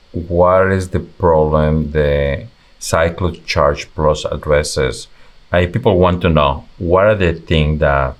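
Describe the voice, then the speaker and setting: A man interviewing a student team, often rephrasing his questions as he goes. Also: very deep voice.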